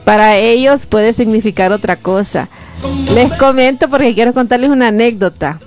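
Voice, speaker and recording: A woman's voice: fairly high pitch, normal speed, soft background music. A woman with a Salvadoran Spanish accent hosting a live radio show, chatting and greeting listeners. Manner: friendly, chatty, reads listener messages aloud. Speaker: radio host. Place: radio studio.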